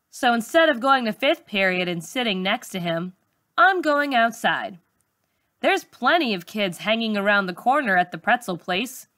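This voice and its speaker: A woman teacher reading a first-person story aloud to schoolchildren in an expressive, colloquial storytelling manner. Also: medium pitch, no room sound, clean studio sound.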